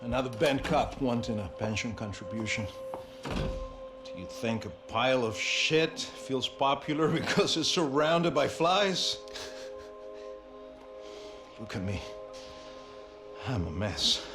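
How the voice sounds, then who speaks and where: A man's voice: mid-range voice, expressive, slow pace, long pauses, over loud music. An actor playing a wealthy, jaded, self-pitying businessman, brooding bitterly in a video game cutscene.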